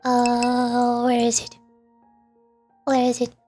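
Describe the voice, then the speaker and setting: High-voiced American woman streaming a game. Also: monotone, takes it slowly, mellow, faint music behind. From United States. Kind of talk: casual playthrough commentary.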